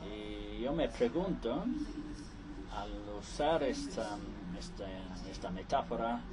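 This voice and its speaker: A man interpreting conference speeches live, halting and restarting often. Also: medium pitch, noisy background.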